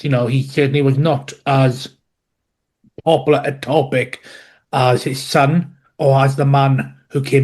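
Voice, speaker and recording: A man from West Wales with a Welsh accent, a historian explaining his subject in a podcast interview with measured, dryly humorous asides. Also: medium pitch, a little mellow. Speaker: guest historian.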